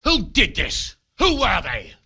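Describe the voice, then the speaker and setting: Gravelly, blustering medieval lord. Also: male voice, sits high, wildly swooping pitch, slow pace, pushed and bright, heavily acted. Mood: angry, urgent. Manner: blunt, authoritative, earthy. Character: gruff, commanding medieval lord of a castle. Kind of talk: video game cutscene acting.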